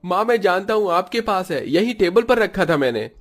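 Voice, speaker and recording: A man's voice: high-pitched, sing-song, moderate pace, faint music behind, pitched above the performer's own voice. A man voicing an irritated, selfish grown son in a Hindi cartoon story. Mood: angry.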